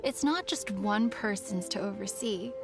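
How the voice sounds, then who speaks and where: A woman's voice: medium pitch, a little slow, natural voice. A woman voicing a polite, modest and helpful festival organiser in a video game. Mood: welcoming, modest. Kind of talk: video game character dialogue. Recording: music behind the voice.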